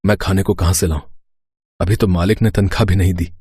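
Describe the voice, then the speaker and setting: A man playing a curt, hard-up working husband in a cartoon story, with a husky voice. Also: deep voice, steady tone, a little fast, darkish tone, no echo, studio quality. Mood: weary, curt. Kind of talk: Hindi cartoon story acting.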